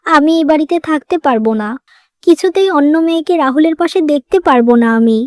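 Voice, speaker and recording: A woman's voice: high-pitched, lively intonation, quick pace, dry recording, clean studio sound. A woman voicing an emotional, plaintive young modern wife in a moral-story cartoon. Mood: heartbroken.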